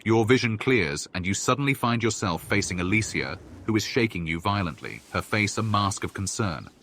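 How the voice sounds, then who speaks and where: A man's voice: fairly low pitch, lively intonation, normal speed, a bit bright, a little hiss or hum. A man playing a solemn storyteller, reading scripted second-person narration for a fantasy game.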